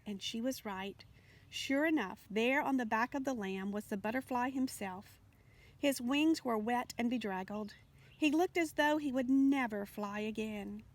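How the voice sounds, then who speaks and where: High-voiced woman reading a children's story. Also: a little slow. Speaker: storybook reader. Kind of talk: expressive children's story narration.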